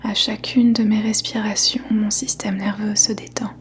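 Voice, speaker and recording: A woman guiding a yoga nidra meditation in a soft, breathy, whispery voice, calmly and in measured short phrases with gentle pauses. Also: medium pitch. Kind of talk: guided meditation, slow soothing instruction. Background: faint music.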